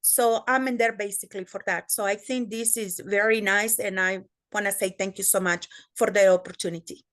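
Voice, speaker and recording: A woman's voice: medium pitch, steady tone, fairly quick, hardly any pauses, fairly bright tone. A woman speaking in an online meeting.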